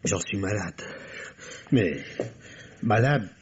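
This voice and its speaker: Flamboyant male secretary and costumier, radio drama: effusive, indignant, very lively intonation, breathy edge, muffled vintage sound. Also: fairly low pitch, takes it slowly, heavily acted. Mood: distraught, self-pitying. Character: flamboyant, theatrical, high-strung male private secretary and costumier. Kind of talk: French radio mystery play acting. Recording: dull vintage radio recording.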